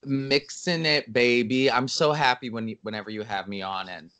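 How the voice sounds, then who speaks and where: A man with a slightly raspy voice, a guest on a podcast, chatting in an animated and gossipy way. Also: medium pitch, moderate pace. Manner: animated and gossipy, says "baby" and "babe". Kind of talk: casual chat.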